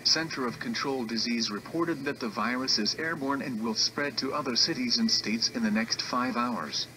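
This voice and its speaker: A man playing an emergency alert announcer, giving a scripted warning in a slow, formal, even official delivery. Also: mid-range voice, slight background noise, close to an everyday voice, rough recording. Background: music playing under the voice.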